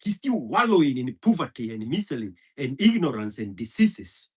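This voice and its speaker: Husky, heated man ranting. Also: mid-range voice, big pitch swings, moderate pace, darkish tone. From Kenya. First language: Kikuyu. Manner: heated, profane, hammering repetition. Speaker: commentator. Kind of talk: impassioned monologue.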